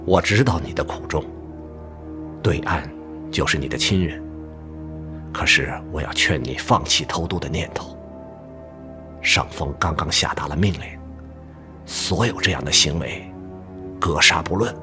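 An actor playing a brotherly but stern army officer in a Chinese radio drama, with emphatic, expressive delivery. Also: male voice, very deep voice, measured pace, pauses often, music in the background. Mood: stern, warning. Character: hearty older army officer, brotherly yet stern male friend.

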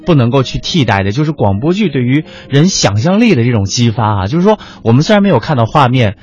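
A man co-hosting a radio programme in conversational mainland standard Mandarin, measured, with small pauses. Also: mid-range voice, sing-song, darkish tone, slightly rough. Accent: mainland Chinese standard Mandarin. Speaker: radio host. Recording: slightly dull audio with music underneath. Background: background music.

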